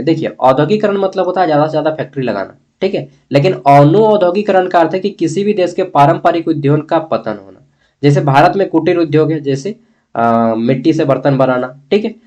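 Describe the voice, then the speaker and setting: Blunt Bihar-Jharkhand man teaching, higher-pitched voice. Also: a little mellow. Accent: eastern Indian, Bihar-Jharkhand. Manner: blunt, opinionated, repeatedly checking with listeners. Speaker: history teacher. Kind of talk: informal exam-review lesson.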